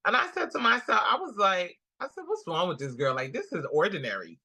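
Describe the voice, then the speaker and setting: A woman's voice: lowish voice, a little fast, breathy edge. A woman with a Guyanese accent, a comedian guest telling stories in a casual interview and acting out the quotes. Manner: animated storyteller, acts out quotes.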